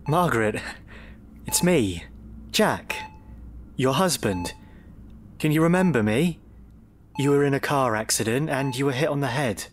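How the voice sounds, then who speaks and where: A man playing a worried, devoted middle-aged husband in a radio drama, speaking earnestly and quietly subdued. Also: medium pitch, expressive, unhurried, long pauses, slight background noise, close to an everyday voice. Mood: pleading.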